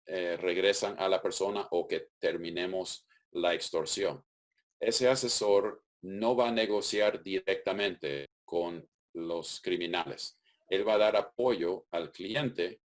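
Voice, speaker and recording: Guy, very low voice, calm methodical webinar explainer on a call. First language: English. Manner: methodical, steady explaining with occasional restarts. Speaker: webinar presenter.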